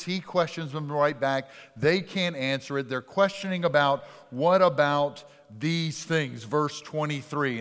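A male pastor delivers a sermon with a conversational touch, letting his voice fall from strong to hushed for emphasis. His pitch sits in a middling range. The long reverb of a church sanctuary trails after his words. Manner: conversational preaching that swings from loud to hushed.

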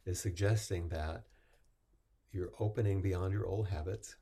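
A man leading a group discussion as its teacher, speaking softly and reflectively at an unhurried pace. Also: sits low, fairly even intonation, husky. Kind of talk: gentle guided group discussion.

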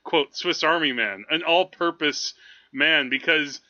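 A man with a very bright, fairly high voice chats casually as a podcast co-host. He explains things in a rambling, eager way peppered with "like", on slightly dull lo-fi audio. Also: unhurried. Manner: rambling, enthusiastic explaining, frequent "like". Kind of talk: casual film chat.

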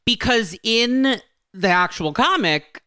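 Animated, bright voice with wry, emphatic opinions. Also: mid-range voice, slow, no echo, studio quality. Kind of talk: casual pop-culture discussion.

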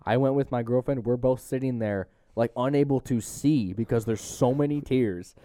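A man's voice: mid-range voice, normal speed, warm tone, no echo. A young man hosting a podcast, chatting casually about a movie in slangy, opinionated, teasing talk. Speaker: podcast host. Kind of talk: casual movie review chat.

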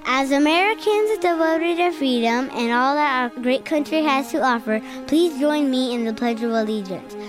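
A woman's voice: sits high, lively intonation, normal speed, hardly any pauses. A woman giving a scripted, formal announcement as the leader of a ceremony. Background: music.

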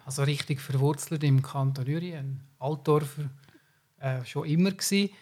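Interviewer with winding questions. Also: male voice, fairly high pitch, normal speed, darkish tone. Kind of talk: political interview.

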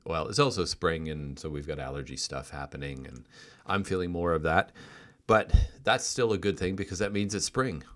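A man's voice: sits low, normal speed, fairly bright tone. A man chatting casually as co-host of a podcast. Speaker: podcast co-host, company co-founder.

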